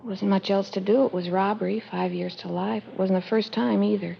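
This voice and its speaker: A defensive young American woman under questioning in a vintage radio play. She talks fast and insistently, with a noticeable creak in her voice. The old recording is dull and noisy. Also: medium pitch, steady tone. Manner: guarded, insistent. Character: defensive, evasive young woman, convict's former fiancée. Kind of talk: 1940s-50s radio drama acting.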